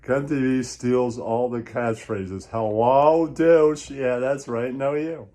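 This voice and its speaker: A man's voice: mid-range voice, slow pace, mellow. A man rambling through a crude comic monologue, mocking and repetitive, often leaning on catchphrases as a show host. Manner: crude, mocking, repetitive, laughs at own jokes.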